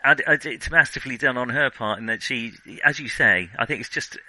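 A man's voice: mid-range voice, fairly quick, pushed and bright, poor audio. A man co-hosting a film podcast in casual discussion, thinking aloud in hesitant, broken phrases.

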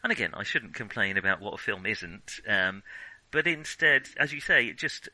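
Guy chatting movies on a podcast, super bright punchy voice, dry close sound, keeps restarting sentences. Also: lowish voice, expressive, normal speed, no room sound, poor audio. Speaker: podcast co-host. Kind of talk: casual film discussion.

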